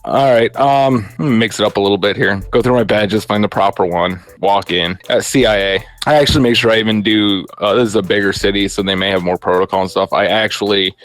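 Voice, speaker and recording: Low-voiced man role-playing at a game. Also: fairly quick, few pauses. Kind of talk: casual improvised role-play chat. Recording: music playing behind the voice. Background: background music.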